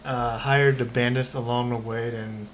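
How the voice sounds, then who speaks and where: A man giving casual, halting reaction commentary as he streams a game. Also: medium pitch, little pitch movement, fairly bright tone, slight background noise. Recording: game audio and music behind.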